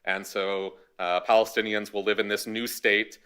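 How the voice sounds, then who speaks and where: Male panelist, low and resonant, podium mic in a big hall, hesitant academic delivery. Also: little pitch movement, moderate pace, a bit bright, clean tone. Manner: hesitant, many fillers and restarts. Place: large auditorium with stage.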